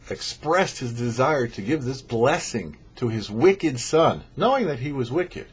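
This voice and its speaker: A man's voice: medium pitch, husky. A man, a rabbi hosting a show, delivers prepared religious commentary in a didactic way, posing questions and then explaining.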